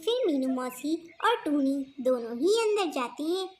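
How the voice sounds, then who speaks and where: A woman's voice: high-pitched, very animated, measured pace, crowd noise. A woman giving scripted narration for a children's cartoon story.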